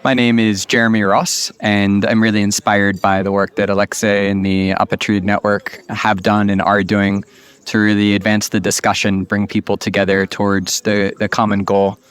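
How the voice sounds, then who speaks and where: Low, flat-voiced earnest man. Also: moderate pace, clear, resonant tone. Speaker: conference participant. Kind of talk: interview statement.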